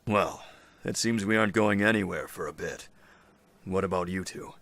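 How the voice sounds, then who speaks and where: A man acting a composed, authoritative survivor leader with a formal, measured manner in an audio drama. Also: low-pitched, a bit bright. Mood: calm, grave.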